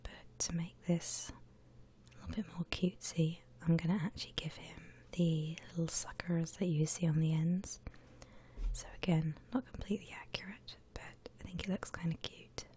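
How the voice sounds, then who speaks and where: A woman whispering gently and breathily as she guides listeners through a drawing, in the style of an ASMR tutorial. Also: fairly low pitch, flat delivery. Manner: gentle, unhurried step-by-step explaining. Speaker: host of an art ASMR channel.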